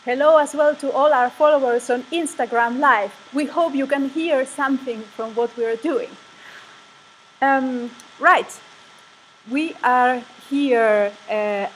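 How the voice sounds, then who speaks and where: A woman's voice: fairly high pitch, unhurried, a little hiss or hum, rough recording. A woman from Spain with a Spanish accent, presenting a live online session for a music ensemble. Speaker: ensemble member presenting a livestream. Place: room at a music conservatory.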